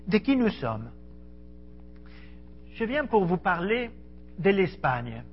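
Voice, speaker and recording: A man's voice: fairly high pitch, lo-fi sound, slight background noise. A middle-aged man from Argentina, a missionary preacher giving an earnest, conversational sermon in a church hall and speaking to the audience directly. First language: Spanish. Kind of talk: sermon to a congregation.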